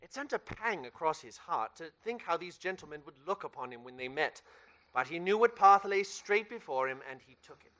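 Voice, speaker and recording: A man's voice: fairly high pitch, animated, fairly quick, bright, forceful tone, slight background noise. A man playing the narrator of a Christmas radio play, telling the tale with emphatic theatrical delivery. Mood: merry, storytelling.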